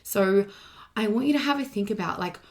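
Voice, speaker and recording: A woman's voice: mid-range voice, expressive, brisk. A woman hosting a motivational solo podcast as a coach, speaking casually and emphatically, repeating key points, with her voice slightly strained from partly losing it.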